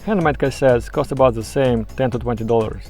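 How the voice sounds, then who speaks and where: A man presenting a scripted video essay in a measured, explanatory and opinionated way. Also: medium pitch, darkish tone, faint music behind. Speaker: YouTube presenter.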